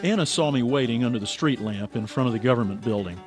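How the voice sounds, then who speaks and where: An actor playing a suspicious, persistent investigator and narrator in a radio drama, probing and matter-of-fact. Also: male voice, medium pitch, fast talker, over loud music, close to an everyday voice. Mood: reflective. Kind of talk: old-time radio drama acting.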